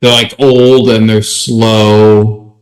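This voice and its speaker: A man's voice: fairly low pitch, flat delivery, drawn-out words, low-quality recording. A man co-hosting a podcast, chatting casually about tabletop gaming. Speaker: podcast co-host.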